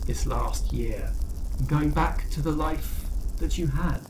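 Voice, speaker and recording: A performer voicing a coldly reasonable demon in a tabletop game, speaking in slow, formal, persuasive phrases. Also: medium pitch, reverberant, background noise, close to an everyday voice. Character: coldly reasonable demon bargaining to undo time.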